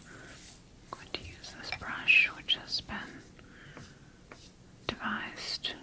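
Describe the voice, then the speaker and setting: A woman playing a calm, attentive scientist in a sci-fi ASMR roleplay, speaking in a gentle, measured, clinical manner with pauses between phrases. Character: calm, attentive female scientist studying her bioengineered creation.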